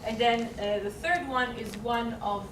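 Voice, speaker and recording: A woman presenting at a conference panel, speaking haltingly with many fillers and restarts. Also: on the high side, fairly even intonation. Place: conference hall. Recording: echoey room, far mic, noisy.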